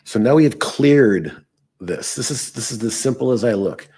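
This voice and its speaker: A man hosting a live trading show, thinking aloud as he works, his voice hoarse and fading. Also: mid-range voice, expressive, normal speed, a little mellow. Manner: thinking aloud while working on screen.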